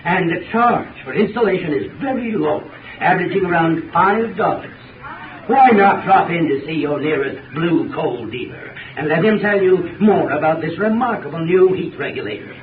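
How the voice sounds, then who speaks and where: A man giving a formal, deliberate 1930s radio advertising talk as an expert. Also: a little high, lively intonation, crowd noise. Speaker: heating expert in a sponsor spot. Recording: phone-like, echoey, distant, noisy 1930s radio recording.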